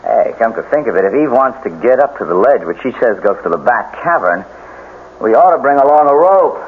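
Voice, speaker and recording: An actor playing a wry, cautious, indulgent fiancé in an old-time radio drama, with a dry, teasing delivery. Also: male voice, mid-range voice, big pitch swings, brisk. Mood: easygoing. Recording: noisy tinny old radio broadcast recording.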